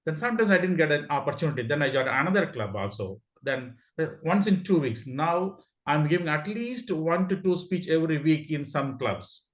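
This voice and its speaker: A man's voice: a little high, very animated, moderate pace, hardly any pauses. Eager Indian-accented man, echoey room. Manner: eager, laughing, many restarts. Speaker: club member sharing a story. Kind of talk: casual meeting talk.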